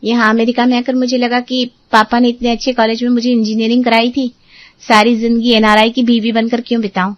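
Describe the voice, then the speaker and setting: A woman's voice: fairly high pitch, little pitch movement, a little fast, no echo, lightly acted. A woman playing a guarded, slightly impatient young married daughter in a Hindi family drama, on a muffled track. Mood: guarded, composed. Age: young adult.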